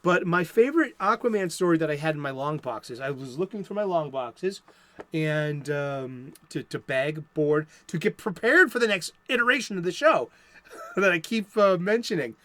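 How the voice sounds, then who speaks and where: Brief: male host with a Boston accent, a touch high, rambling and chatty, dry close sound. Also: expressive, moderate pace, a bit bright, dry recording. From Boston area, USA. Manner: rambling, many restarts and fillers. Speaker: comic book show host. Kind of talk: casual solo talk. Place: home studio.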